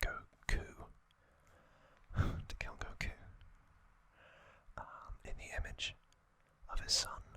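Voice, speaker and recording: A man speaks in a breathy, crisp whisper, like an ASMR host telling a story. His delivery is very slow and deliberate, broken into short phrases with space between them. The sound is bright and airy rather than voiced.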